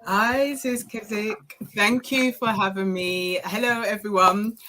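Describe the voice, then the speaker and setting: A woman in her forties from Ghana, raised in the UK, giving a steady self-introduction over a video call. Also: mid-range voice, unhurried. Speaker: guest, civil servant.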